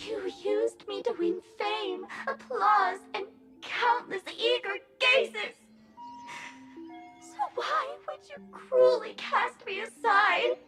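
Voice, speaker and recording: Desperate theatrical mask maiden, video game, very high voice, wildly swooping melody, pleading. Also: female voice, big pitch swings, slow, heavily acted. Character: cast-off theatrical maiden mask, forlorn, unhinged, desperately smiling. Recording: loud music under the voice. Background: music.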